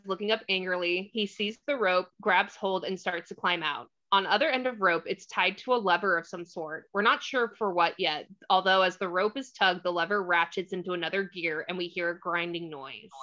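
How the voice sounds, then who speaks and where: A woman's voice: on the low side, bright, forceful tone, highs cut off. A woman narrating the stage directions of a screenplay in a scripted read. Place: remote online table read.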